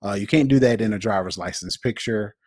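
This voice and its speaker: A man with a low voice, recorded close and dry, co-hosts a tech podcast. He talks conversationally as he works through the news. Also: a little fast, darkish tone, no echo. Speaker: podcast co-host.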